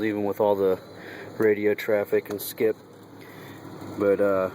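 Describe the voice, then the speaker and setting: A man's voice: mid-range voice, warm tone. A man giving a casual, folksy how-to walkthrough in and around a vehicle, talking in colloquial speech to a handheld camera. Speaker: truck driver and hobbyist demonstrator. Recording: noisy vehicle and engine hum.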